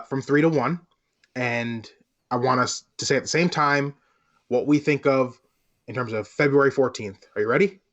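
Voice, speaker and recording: A slightly muffled, lo-fi recording of a man hosting a podcast. He sets out his points in a measured, deliberate way, keeping the tone conversational and slangy, at an ordinary mid pitch. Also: a bit bright. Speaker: podcast host. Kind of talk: casual podcast discussion.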